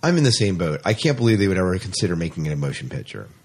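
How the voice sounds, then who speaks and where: A man's voice: low-pitched, big pitch swings, rapid-fire, breathy edge. A man co-hosting a fan podcast in casual chat, often repeating phrases for emphasis. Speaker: podcast co-host.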